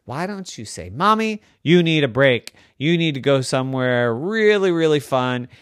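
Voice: medium pitch, very animated, normal speed, smooth, no room sound, studio quality, natural voice. A storyteller voicing a teasing little sister in an improvised children's story, squealing and mocking her brother. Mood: bossy.

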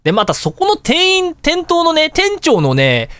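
A man hosting a radio show, telling everyday anecdotes in a casual, excitable way and acting out little exchanges. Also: very high voice, a little slow, no room sound. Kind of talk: casual storytelling radio talk.

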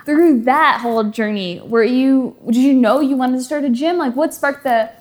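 A woman's voice: high-pitched, normal speed. A young woman hosting a podcast, asking effusive questions full of "like" fillers. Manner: effusive, upbeat, frequent "like" fillers. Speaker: podcast host. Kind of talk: interview questions.

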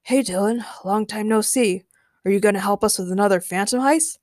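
A woman's voice: mid-range voice, brisk, a little mellow, no room sound, clean studio sound, close to an everyday voice. Woman as a self-assured masked male thief leader. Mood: friendly.

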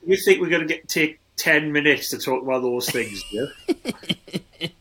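A man's voice: a little high, animated, unhurried, pushed and bright, slight background noise. A man in his forties co-hosting a podcast with casual, teasing banter. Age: 40s. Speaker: podcast co-host.

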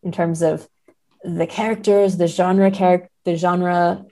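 A woman's voice: on the low side, flat delivery, warm tone. A woman speaking as a podcast guest and literary scholar, reflective and careful as she works through her analysis. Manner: measured, reflective, careful hedging. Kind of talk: thoughtful literary discussion.

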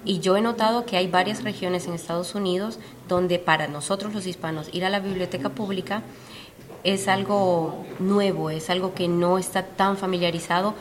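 A woman's voice: on the low side, fairly even intonation, moderate pace. A woman from Honduras, a publisher, explaining her work earnestly and steadily in an interview at a book fair. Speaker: publisher, guest. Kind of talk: conversational interview. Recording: busy fair crowd behind. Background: crowd chatter.

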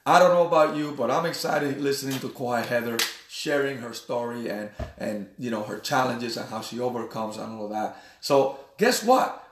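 An energetic man hosting an interview, full of encouragement and quick affirmations. His voice comes through a roomy, echoing space. He sits some distance from the microphone. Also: medium pitch. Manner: energetic, encouraging, peppered with "right?" and "yes". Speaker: podcast host. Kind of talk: enthusiastic interview chat. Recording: roomy sound, voice off-mic.

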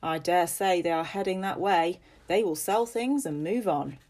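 A woman voicing a stern, haughty older gentleman landowner who gives commands. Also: lowish voice, moderate pace, close to an everyday voice. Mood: imperious.

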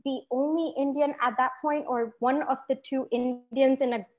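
A young woman from Singapore talks as an interview guest, her voice sitting a little high. She is lively and laughs easily, often restarting her sentences as she goes. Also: normal speed, dull audio. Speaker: guest entrepreneur. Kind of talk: conversational podcast interview.

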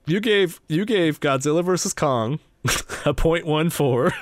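A man co-hosting a film podcast in casual chat, chatty and quick to laugh at himself. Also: a little high, lively intonation, no room sound. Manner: chatty, laughs often, self-deprecating. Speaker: podcast co-host reviewing films.

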